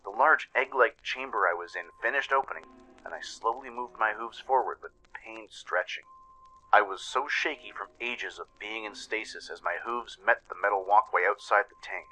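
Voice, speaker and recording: A man's voice: on the low side, normal speed, bright, forceful tone, soft background music, rough recording. A man narrating a scripted first-person story dramatically, as in an audio drama. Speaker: narrator and voice actor.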